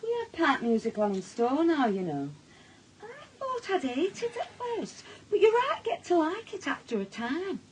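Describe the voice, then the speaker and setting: A friendly, excitable Northern English shop assistant in a radio play. She is high-pitched and extremely animated, chattering like someone relishing an anecdote. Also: normal speed, a little hiss or hum, poor audio. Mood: chatty. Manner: animated anecdote-telling, mimics customers. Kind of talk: naturalistic British radio drama acting.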